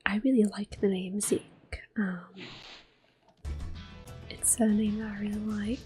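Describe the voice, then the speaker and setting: A woman in soft, unhurried whispers, commenting on her gameplay as an ASMR gamer. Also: mid-range voice, little pitch movement. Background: soft game music and controller clicks.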